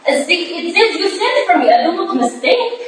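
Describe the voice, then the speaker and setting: In a very echoey hall, far from the microphone, an actress plays an anxious young foreign woman. Her English is halting and stilted, and her voice sits high, as in a Victorian stage drama. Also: sing-song, a little hiss or hum. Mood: confused, pleading.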